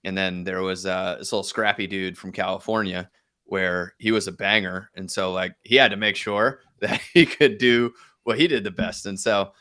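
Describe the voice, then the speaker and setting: Guy on a podcast, lowish bright voice, chatty storytelling, pokes fun at himself. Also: fairly even intonation, normal speed. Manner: self-deprecating, joking. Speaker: podcast guest. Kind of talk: casual conversational storytelling.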